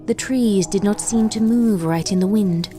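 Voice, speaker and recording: Woman narrating a dark fan story. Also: mid-range voice, normal speed, faint music behind. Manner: steady storytelling. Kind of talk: scripted narration of a horror fanfic.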